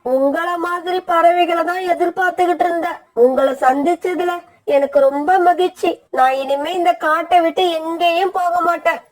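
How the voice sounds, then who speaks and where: A woman's voice: very high voice, fairly even intonation, moderate pace. A woman voicing an earnest female forest bird in a children's cartoon story. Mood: relieved, grateful.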